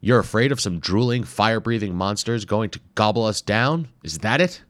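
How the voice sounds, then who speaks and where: A man voicing an eager, confident male explorer who speaks in a brisk, teasing manner. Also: lowish voice, lively intonation, a bit bright, no echo, voice pushed up.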